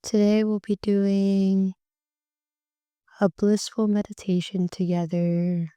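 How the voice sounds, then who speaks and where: A woman guides a meditation in an unhurried, gentle way, letting her phrases stretch out. Her voice is mellow and warm, sitting a little low, and comes through very smooth and clear. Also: level tone, no room sound. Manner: slow, soft and soothing, drawn-out phrases.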